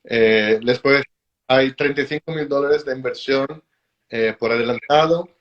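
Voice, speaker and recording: A man joining a live-stream chat as a guest, pitching enthusiastically and persuasively. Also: moderate pace, highs cut off.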